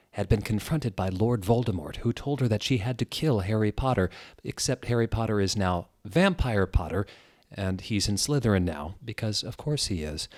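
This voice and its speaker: A man with a fairly low voice reads aloud with theatrical flair. He is wry and slips into casual asides, like the host of a comedic reading. The sound is dry, with very little room to it. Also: no room sound.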